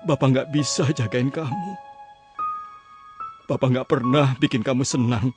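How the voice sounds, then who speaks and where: An actor playing a remorseful young husband who has come home, speaking in a pleading, apologetic way in a radio drama. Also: male voice, mid-range voice, unhurried, music in the background, natural voice.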